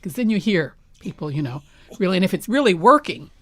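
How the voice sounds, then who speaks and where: Female interview guest, playwright type: lowish voice, emphatic, measured phrasing, close dry sound. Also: very animated, no room sound. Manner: emphatic, deliberate, articulate. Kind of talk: reflective panel interview.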